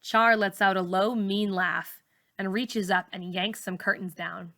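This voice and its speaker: Bright-voiced woman narrating a story. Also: medium pitch, fairly even intonation, unhurried. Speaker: teacher reading a novel aloud. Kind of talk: expressive first-person story narration.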